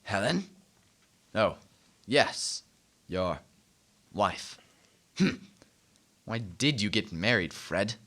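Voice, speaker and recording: A man's voice: lowish voice, lively intonation, extremely slow, pauses often, a bit bright, exaggerated voice. A man playing a grumpy, miserly old man in a radio drama, curt and contemptuous. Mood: dismissive.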